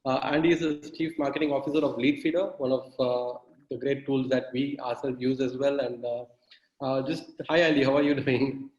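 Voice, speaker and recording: A man's voice: mid-range voice, steady tone, moderate pace, darkish tone. Hesitant male host introducing a guest, roomy echo, muffled video-call audio. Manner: hesitant, many "uh"s. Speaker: summit host. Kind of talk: introducing a speaker and interviewing.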